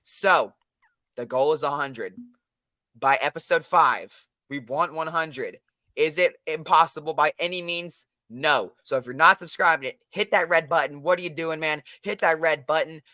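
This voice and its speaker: A young man co-hosting a casual sports podcast, hoarse from losing his voice, talking in a hyped, energetic way. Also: a little high, measured pace, no room sound. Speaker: podcast co-host.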